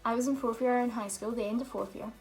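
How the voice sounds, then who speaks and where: Young woman vlogging, kinda highish voice, just chatting and telling stories. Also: steady tone, fast, darkish tone, soft background music. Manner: chatty, open storytelling with fillers. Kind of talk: casual Q&A vlog chat.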